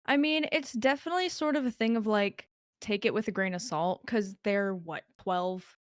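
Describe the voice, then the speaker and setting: A woman's voice: medium pitch, moderate pace, dry recording, clean studio sound. A young woman as a podcast guest, talking in a chatty way with lots of filler words. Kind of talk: casual podcast conversation.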